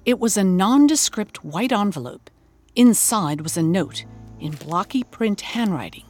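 A woman playing a wry investigative podcast host, narrating a scripted mystery with deliberate suspense. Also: medium pitch, lively intonation, darkish tone. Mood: suspenseful. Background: music.

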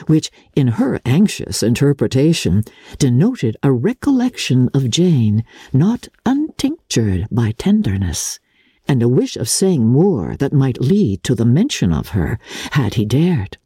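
A woman narrating classic fiction in a theatrical, measured and deliberate literary style, with a husky voice. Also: extremely low pitch, sing-song, mellow, no echo, studio quality. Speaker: audiobook narrator.

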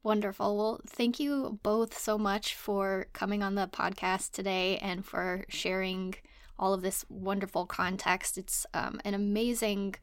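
A woman's voice: mid-range voice, flat delivery, unhurried, clear, resonant tone. A woman co-hosting a podcast, speaking in a conversational hosting style. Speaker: podcast co-host.

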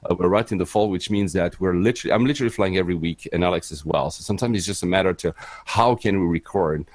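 Deep-voiced Swiss man, rambling chat. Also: fast, no room sound. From Switzerland. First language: French. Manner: rambling, many fillers and restarts. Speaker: podcast guest. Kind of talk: casual chat on a live stream.

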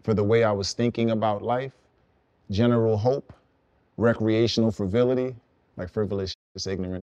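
A young man from Brooklyn, a songwriter guest, explaining his path in relaxed, reflective casual conversation. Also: on the low side, normal speed, dry recording, lo-fi sound. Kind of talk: casual podcast chat.

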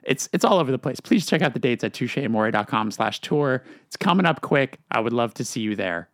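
A man's voice: medium pitch, animated, a little fast, no echo, studio quality. A man hosting a casual podcast, talking loosely with lots of fillers and restarts. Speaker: podcast host.

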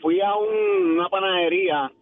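A man's voice: sits high, steady tone, moderate pace, dry recording. Puerto Rican male caller, muffled phone line, easygoing and laughing. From Puerto Rico. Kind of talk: phone call to a radio show.

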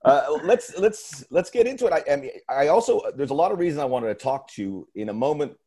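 A man's voice: on the high side, animated, fairly quick, dark tone, breathy edge. A man hosting a sports podcast, talking in an earnest, emphatic way and often restarting his phrases. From United States. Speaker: podcast host. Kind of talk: casual podcast talk.

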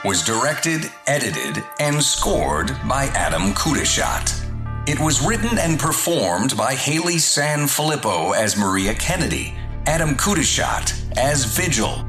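A man reading scripted end credits as an announcer. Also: medium pitch. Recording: roomy voice over music bed.

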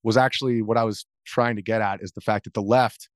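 An American man speaking as an interview guest, earnestly explaining his perspective in halting conversational phrases. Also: lowish voice, fairly even intonation, no room sound, clean studio sound. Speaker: interview guest, video journalist.

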